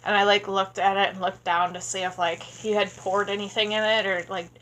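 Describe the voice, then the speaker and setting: A woman's voice: mid-range voice, flat delivery, a little fast, a bit bright, poor audio. Woman, thirties, sleepy, rambling with lots of fillers, casual home vlog, tablet mic. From Wisconsin. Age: 30s. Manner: rambling, chatty, many fillers. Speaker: vlogger. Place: small home room indoors. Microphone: tablet camera at close range.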